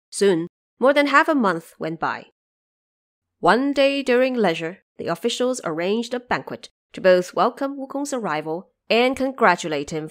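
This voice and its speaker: A woman narrator telling an epic adventure story in a scripted, dramatic storytelling style. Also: medium pitch.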